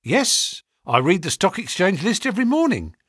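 A man's voice: high-pitched, very animated, normal speed, fairly bright tone, husky, no echo, studio quality, voice pushed up, full character voice. A man voicing a polite, earnest young stockbroker's clerk in an audiobook story. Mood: polite, modest. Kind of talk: audiobook character dialogue.

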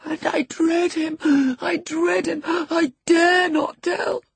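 A woman voicing a sickly, cowardly teenage boy in an audiobook, self-pitying, pleading and halting with anguish. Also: extremely high pitch, flat delivery, vocal fry, dry recording, pitched above the performer's own voice, heavily acted. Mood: terrified. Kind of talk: audiobook character acting.

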